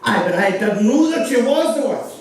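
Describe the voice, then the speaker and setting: Man playing a high-voiced Donegal pantomime dame, very echoey. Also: animated, moderate pace. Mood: boastful. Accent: Irish, County Donegal. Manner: broad, cheeky, indignant banter. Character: boastful, bickering comic pantomime dame in a dress. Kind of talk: pantomime stage comedy. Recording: echoey theatre hall from far off, audience.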